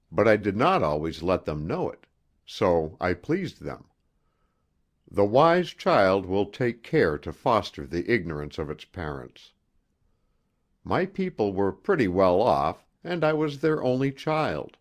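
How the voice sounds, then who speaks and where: A man narrating a first-person literary story as an audiobook, with deliberate, theatrical, expressive phrasing. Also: on the low side.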